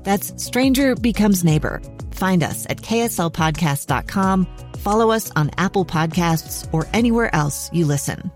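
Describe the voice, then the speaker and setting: A woman's voice: lowish voice, lively intonation, normal speed, soft background music. An American woman, a podcast host, reading scripted promotional narration.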